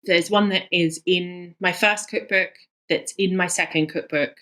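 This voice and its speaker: A woman's voice: on the low side, fairly even intonation, a little slow, fairly bright tone. A woman in her thirties speaking as an interview guest, enthusiastic and emphatic, often repeating words for emphasis. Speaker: guest, cookbook author.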